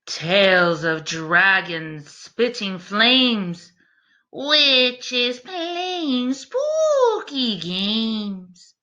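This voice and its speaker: Woman, children's storytime teacher: bright, clear-cut delivery, unhurried and expressive, speaking straight to young listeners. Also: fairly high pitch, muffled recording. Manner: expressive, deliberate, addressing young listeners directly. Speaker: teacher reading a picture book aloud to children. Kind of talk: animated children's read-aloud with questions to listeners.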